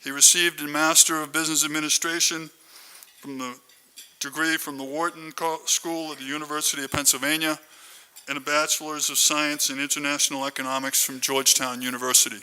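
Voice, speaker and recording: A man's voice: on the high side, little pitch movement, fairly quick, pushed and bright, clean tone, low-quality recording. A man hosting a conference, giving a formal, prepared introduction speech. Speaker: conference host introducing keynote speaker.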